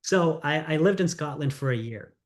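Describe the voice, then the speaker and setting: A man's voice: on the high side, expressive. A middle-aged man from the United States, a congressional candidate answering interview questions in an earnest, storytelling way. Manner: earnest, storytelling, quick asides.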